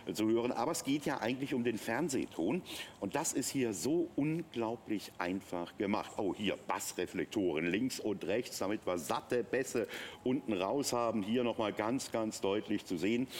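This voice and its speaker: A middle-aged man demonstrates a product in a TV shopping studio, with clear noise behind him. His fairly low voice swings widely in pitch as he talks. He is chatty and enthusiastic, improvising his pitch and repeating phrases for emphasis. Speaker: TV shopping presenter. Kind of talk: unscripted live product demonstration.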